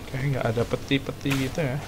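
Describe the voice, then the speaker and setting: A man's voice: medium pitch, fairly even intonation, rapid-fire. A man with an Indonesian accent giving casual, slangy commentary on a game as he plays. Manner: slangy, informal. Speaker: gaming video host. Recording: loud game music behind voice. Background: loud game music and effects.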